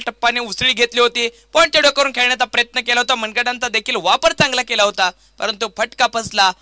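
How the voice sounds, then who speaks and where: A Maharashtrian man calls cricket live in a high, piercingly bright and forceful voice. The sound is clean and crisp. His delivery is grandiose and dramatic, leaning on repeated stock phrases. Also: no room sound. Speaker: cricket commentator. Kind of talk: live play-by-play sports commentary.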